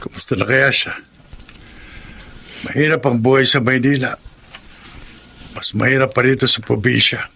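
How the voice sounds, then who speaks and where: A man's voice: medium pitch, fairly even intonation, normal speed, creaky, long pauses, bright, forceful tone, a little hiss or hum. An actor playing a hardworking middle-aged farmer and father in a Filipino radio drama, plain-spoken and earnest. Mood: concerned, reflective.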